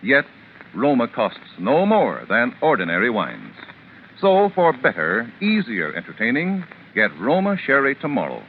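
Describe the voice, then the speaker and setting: A man's voice: medium pitch, huge pitch swings, measured pace. A man announcing a 1940s radio commercial in a polished, emphatic sales style. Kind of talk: 1940s radio advertisement read. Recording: narrow, faintly noisy old radio recording.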